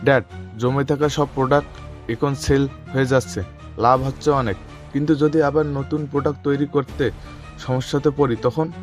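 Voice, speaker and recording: With music faintly behind, a man plays the grown son of a crooked tycoon in an audio drama. He sounds questioning and conspiratorial, in an ordinary male pitch. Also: fairly even intonation, moderate pace, natural voice. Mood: worried. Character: anxious, scheming grown son of a villain tycoon. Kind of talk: audio story acting.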